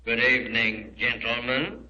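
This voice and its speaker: An actor playing a suave, gloating criminal mastermind in a 1940s radio drama, speaking with silky mock politeness. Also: male voice, mid-range voice, steady tone, measured pace, lightly acted. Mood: mock-courteous. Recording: muffled, noisy 1940s radio recording with hum.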